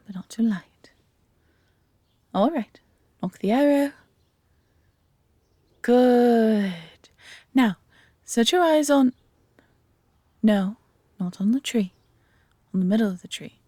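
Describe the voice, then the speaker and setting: A woman's voice: fairly high pitch, expressive, slow, long pauses, warm tone, no echo, studio quality. A woman with an English accent playing a friendly, adventurous elf archer in a roleplay audio drama, talking conversationally to the listener. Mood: patient, instructive.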